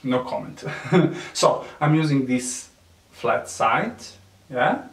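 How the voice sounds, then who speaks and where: A man with a Serbian accent, whose first language is Serbian, gives a makeup tutorial in halting English with many fillers and soft nervous laughs. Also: mid-range voice, expressive, creaky. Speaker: beauty vlogger. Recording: echoey room, mic at a distance.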